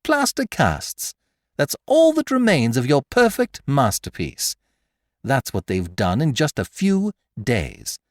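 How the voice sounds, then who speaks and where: A man voicing an excitable young male amateur detective, brash and mocking as he shows off his discovery. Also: mid-range voice, a little slow, no echo, studio quality. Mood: exultant.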